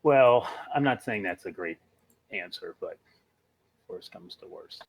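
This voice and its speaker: A man's voice: on the low side, animated, a little slow, vocal fry. A man in casual discussion as a player in a tabletop role-playing game.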